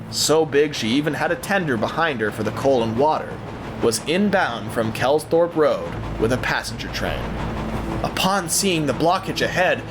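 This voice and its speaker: A man's voice: medium pitch, fairly bright tone, slight background noise. A man narrating an audio drama as a scripted story, with theatrical, suspenseful phrasing.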